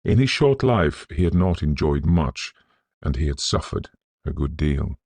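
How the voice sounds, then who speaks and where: A man reading a Victorian ghost story in a scholarly, measured, atmospheric manner. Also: extremely low pitch, very animated, darkish tone, no room sound. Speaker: narrator.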